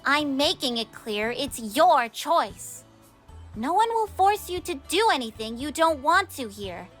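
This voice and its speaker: A woman's voice: high-pitched, sing-song, unhurried, a bit bright, exaggerated voice. A woman voicing an earnest, friendly young female cartoon animal in a comic dub, explaining things plainly over music. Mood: firm, earnest. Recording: music under the voice.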